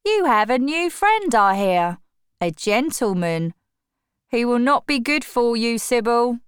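A woman's voice: fairly high pitch, sing-song, a little slow, clear, resonant tone, no room sound, studio quality, pitched above the performer's own voice. A woman reading the part of an earnest sixteen-year-old brother in a storybook. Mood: stern. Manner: earnest, protective. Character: young man of sixteen, blunt and protective.

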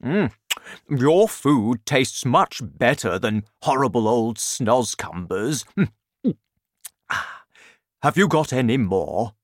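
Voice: mid-range voice, very animated, extremely slow, no echo, clean studio sound, exaggerated voice. A reader voicing a big, friendly male giant with a happy, simple temper in a storybook. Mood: delighted, eating.

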